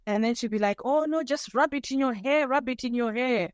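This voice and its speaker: A woman from Zimbabwe, a podcast guest, telling her own story candidly, with pauses and restarts. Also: a little high, dry recording, studio quality. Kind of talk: personal storytelling interview.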